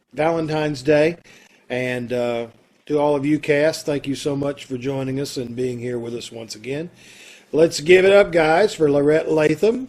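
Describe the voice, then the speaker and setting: A man's voice: fairly high pitch, a little mellow. A man hosting an informal show sign-off over a video call, upbeat, emphatic and folksy. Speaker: troupe host. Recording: home video-call recording.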